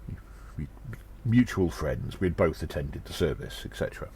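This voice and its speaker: A man playing an earnest undercover gnome agent in an audio drama, reporting in a measured, halting, formal and conspiratorial way. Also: rumbling low, lively intonation, a little mellow, slight background noise. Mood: confiding, measured. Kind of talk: first-person audio drama monologue, spoken report.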